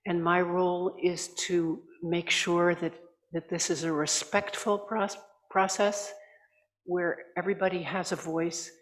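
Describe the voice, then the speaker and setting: Older lady chairing a meeting, calm and polite, lots of room echo. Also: fairly low pitch. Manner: measured, courteous, slightly halting. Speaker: assembly chair. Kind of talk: formal welcoming address. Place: large conference hall. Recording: echoey reverberant hall.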